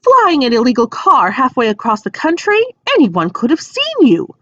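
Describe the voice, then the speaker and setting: A woman's voice: high voice, huge pitch swings, normal speed, fairly bright tone, dry recording, dull audio, pitched above the performer's own voice, exaggerated voice. Lady doing a fussy snappy witch mom from a kids book, voice swings up and down, bossy but loving. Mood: worried scolding. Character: scolding, bustling, fond mother witch.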